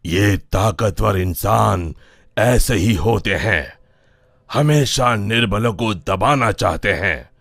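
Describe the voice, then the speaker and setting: A man voicing a grave old holy man in a cartoon, who gives advice slowly and solemnly. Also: fairly low pitch, vocal fry, fairly bright tone, no echo, full character voice. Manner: slow, solemn, advising. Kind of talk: cartoon voice acting.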